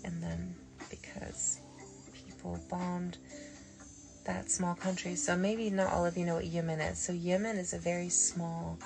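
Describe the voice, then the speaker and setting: A woman's voice: lowish voice, flat delivery, a little slow, gravelly. A woman talking as a spiritual medium in a rambling, digressive late-night stream, full of fillers and restarts, with faint music behind her. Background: faint early nineties music.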